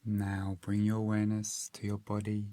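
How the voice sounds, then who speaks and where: A man narrating a guided meditation as a guide, calm and gentle, with measured phrases and long pauses. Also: lowish voice, no room sound.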